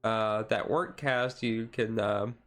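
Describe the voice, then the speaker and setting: A man streaming casual, chill card game commentary in a halting, rambling way with frequent asides. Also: lowish voice, a bit bright, faint music behind. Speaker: streamer host.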